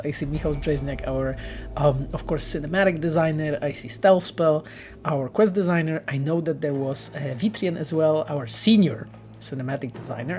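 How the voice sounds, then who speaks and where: Halting, earnest male game developer. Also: a little high, darkish tone. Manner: earnest, halting explanations with many restarts. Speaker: game developer streaming and answering chat. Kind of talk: casual livestream Q&A.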